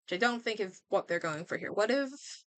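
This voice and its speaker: Expressive woman streamer with swooping pitch. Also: a little fast, no echo. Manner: expressive, chatty, thinking aloud. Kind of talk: casual game livestream commentary.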